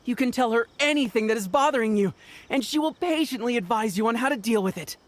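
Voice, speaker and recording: A woman's voice: a little high, lively intonation, fast, a little hiss or hum, natural voice. A woman voicing a devoted, eager idol fan in a video game. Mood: reverent. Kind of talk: English video game voice acting. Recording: music under the voice. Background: game music.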